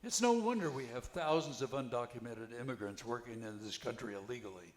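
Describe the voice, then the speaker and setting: A man's voice: medium pitch, lively intonation, a little fast, lightly acted. Older man, earnest helpful widower as a man reads him in a play, stumbling delivery, echoey recording. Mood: earnest, concerned. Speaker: amateur actor reading a play. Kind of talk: scripted play reading. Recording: echoey, roomy amateur recording.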